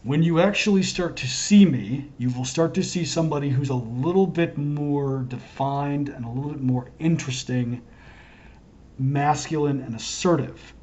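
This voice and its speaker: A hoarse man talking to camera in a wandering, self-interrupting, earnest monologue as a self-styled prophet. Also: on the high side, normal speed, a little mellow, muffled recording. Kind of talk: rambling solo monologue to camera.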